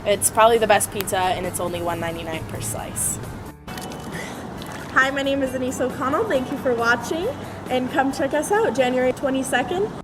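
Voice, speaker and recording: A woman's voice: high voice, expressive, a little fast. A young American woman from Arizona, a student athlete answering profile interview questions about herself. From Phoenix, Arizona, USA. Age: young adult. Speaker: student athlete, college swimmer. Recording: prominent music under the voice. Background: music bed.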